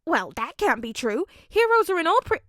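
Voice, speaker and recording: A woman's voice: very high voice, big pitch swings, moderate pace, a bit bright, dry recording, clean studio sound, voice pushed up, full character voice. A woman voicing an anxious, fussy father who protests with worry in a storybook reading. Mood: alarmed, protesting. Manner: worried, protesting.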